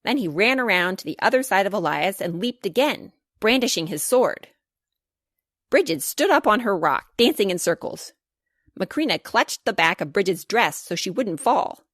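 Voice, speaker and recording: Woman reading a kids chapter book, lively expressive storytelling, nice dry recording. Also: mid-range voice, normal speed, no echo. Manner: expressive, clearly paced storytelling. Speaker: author reading her children's book. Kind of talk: scripted storybook narration for children.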